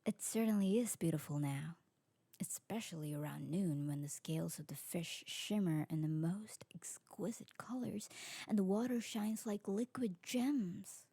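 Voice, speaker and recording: A woman playing an elegant, sociable vampire aristocrat in an ASMR roleplay, refined and formal, with a soft husky voice. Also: on the low side, measured pace, dry recording. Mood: gracious. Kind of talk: scripted ASMR roleplay acting.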